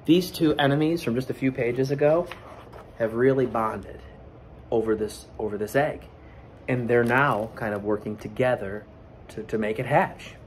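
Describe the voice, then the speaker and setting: A man's voice: mid-range voice, slight background noise. A man in his forties with an American accent, reading a picture book aloud expressively with explanatory asides, as a teacher in a classroom. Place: school classroom, indoors. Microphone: camera or phone a short distance away.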